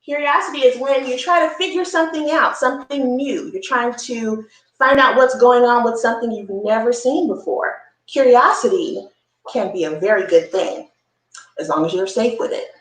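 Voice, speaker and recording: A woman hosting a children's story time, reading a picture book aloud with expressive, encouraging asides and simple explanations. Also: fairly high pitch, normal speed, echoey room, low-quality recording.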